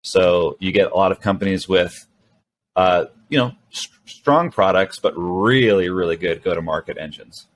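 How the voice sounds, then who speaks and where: A middle-aged man whose first language is Spanish speaks with a very low voice, easing through his answers with lots of "um"s and restarts. He talks in an unhurried, conversational way as an interview guest. A faint hum of background noise sits under the recording. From Venezuela, raised mostly in California. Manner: relaxed, rambling with many fillers and restarts. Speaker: guest, startup co-founder and CEO.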